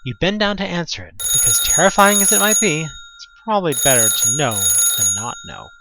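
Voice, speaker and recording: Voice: mid-range voice, very animated, moderate pace, background noise. A narrator reading a second-person horror audio drama expressively, with dramatic delivery and conversational asides.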